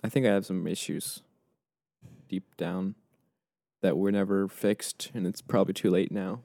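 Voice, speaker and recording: Soft-spoken mumbly male podcast host. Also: low voice, fairly even intonation, a little slow, no room sound, studio quality. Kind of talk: casual chat.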